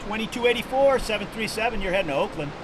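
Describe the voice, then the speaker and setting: A man's voice: high-pitched, lively intonation, racing. A man giving short plane spotting commentary outdoors near an airport runway. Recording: outdoor recording with loud aircraft noise. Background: aircraft noise.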